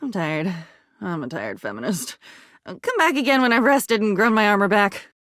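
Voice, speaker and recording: A woman's voice: mid-range voice, very animated, moderate pace, a bit bright, no echo, clean studio sound. An actress playing an unhinged, dreamy woman with a volatile temper who rambles disjointedly in a video game. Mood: dry, weary. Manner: disjointed rambling, swinging between wistful and agitated. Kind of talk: video game character voice acting.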